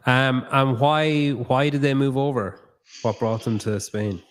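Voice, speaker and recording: A man's voice: medium pitch, fairly even intonation, measured pace. A man hosting a podcast in a new studio, chatting loosely, thinking aloud and swearing casually. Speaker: podcast host. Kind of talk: casual interview chat.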